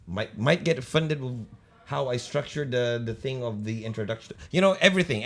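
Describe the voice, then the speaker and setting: A young Filipino man with a deep, croaky voice, chatting on a podcast and often stopping to restart his sentences. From Philippines. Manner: hesitant, halting, many restarts. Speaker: podcast co-host. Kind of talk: casual chat.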